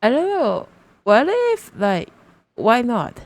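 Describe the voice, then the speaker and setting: A woman doing casual live commentary while she plays a game, excitable and exclamatory, often talking to herself. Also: on the high side, takes it slowly, no echo. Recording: faint game music behind voice. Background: game music.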